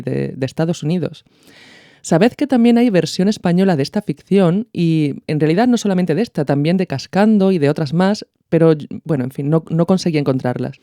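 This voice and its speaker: A woman's voice: sits low, mellow, no echo, clean studio sound. A woman hosting a podcast, explaining in a conversational, didactic way. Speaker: podcast host. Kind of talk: explanatory podcast commentary on radio art.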